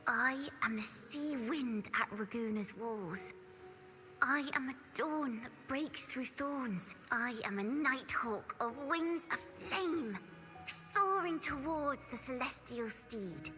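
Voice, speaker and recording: A troubled young woman for a video game. She speaks slowly and lyrically with lively melody and a bright tone. Her wistful voice trails into reverb. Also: high-pitched, full character voice. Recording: long reverb, faint game music.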